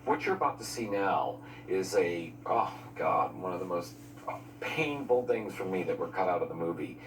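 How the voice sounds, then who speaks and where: Low-voiced man in an echoey room. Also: lively intonation, normal speed. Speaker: film crew member. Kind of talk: informal behind-the-scenes reminiscence. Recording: noisy, echoey, distant mic with hum.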